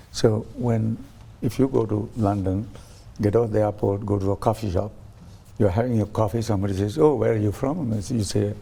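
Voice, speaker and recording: A man's voice: fairly low pitch, creaky, dark tone, slightly rough. A man interviewed as a guest on a talk show, explaining his ideas through stories and acting out quoted voices, with frequent restarts. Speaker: guest, science ambassador.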